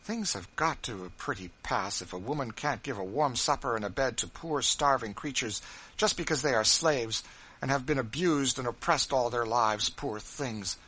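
A man reading aloud, voicing a timid, gentle wife roused to righteous indignation, pleading and insistent. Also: mid-range voice, normal speed, husky, voice pushed up, poor audio. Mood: indignant. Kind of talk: audiobook character reading.

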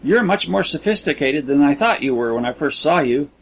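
Storybook role: proud, earnest small-town boy read by a male narrator, courteous and simple, higher-pitched, husky edge. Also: expressive, a little fast. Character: earnest, proud provincial teenage boy in love.

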